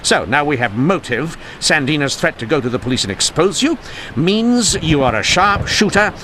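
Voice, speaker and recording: A sharp, wry Victorian police inspector in a radio mystery. His delivery is theatrical and very animated, with a bright, forceful edge over faint background noise. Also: a little high, moderate pace. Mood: confident, accusing. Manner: wry, theatrical, formally polite. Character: witty, dogged male 1890s police inspector. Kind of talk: old-time radio mystery acting.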